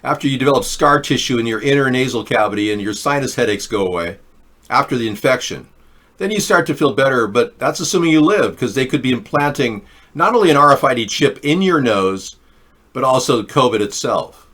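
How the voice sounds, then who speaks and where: A man's voice: medium pitch, lively intonation, a little fast, a bit bright. A man hosting a political commentary livestream, talking in a sarcastic, ranting way. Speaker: host.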